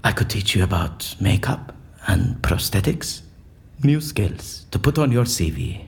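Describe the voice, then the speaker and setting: An actor playing an eccentric, erudite man in an audio drama, speaking in a florid, pompous and animated way. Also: lowish voice, unhurried, gravelly. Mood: chatty, whimsical. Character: eccentric, theatrical, erudite man, convinced his interrogation is staged.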